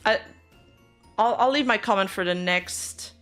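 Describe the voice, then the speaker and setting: A woman's voice: medium pitch, unhurried, a bit bright, faint music behind. A woman VTuber streamer giving casual reaction commentary, blunt and sarcastic, swearing freely.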